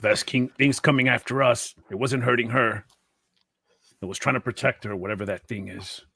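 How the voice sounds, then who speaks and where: A man playing a tabletop game, giving quick actions and dialogue for his character. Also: medium pitch, fairly even intonation, creaky, pushed and bright, husky, no room sound, clean studio sound. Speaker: tabletop roleplay player.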